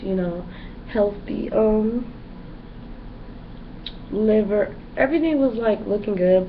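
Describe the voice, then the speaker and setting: A young woman giving a casual, rambling pregnancy update in a vlog, with lots of fillers. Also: mid-range voice. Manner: rambling and chatty, many fillers. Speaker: pregnant vlogger. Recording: dull lo-fi home recording with background noise.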